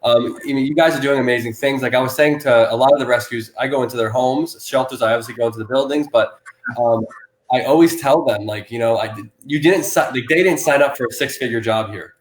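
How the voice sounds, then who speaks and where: A loud man hosts a live-stream chat about animal rescue and races through his thoughts, restarting sentences again and again. His voice sits at an ordinary middle pitch. It is picked up in a roomy, echoing space with a faint hiss behind it. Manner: rapid rambling with many restarts and fillers; loud, self-described loud person. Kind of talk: casual live-stream interview.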